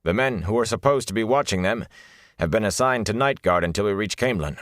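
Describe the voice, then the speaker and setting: A man voicing a gaunt, rigid soldier in an audiobook, with a hard, grating voice and a flat, clipped military delivery. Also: fairly low pitch, fairly quick, dry recording, clean studio sound, pitched above the performer's own voice. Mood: stiff, emotionless. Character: gaunt, rigid, hard-bitten soldier reporting to his captain.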